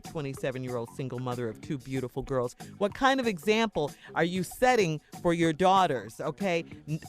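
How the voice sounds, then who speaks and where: An American woman hosting a conversational morning radio advice segment, speaking frankly and admonishingly. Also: sits low. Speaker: radio host.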